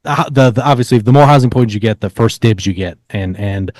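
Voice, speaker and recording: A man's voice: lowish voice, a little fast, a little mellow, dry recording. Man casually telling stories, dry close sound. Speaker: podcast co-host. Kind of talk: casual sports storytelling.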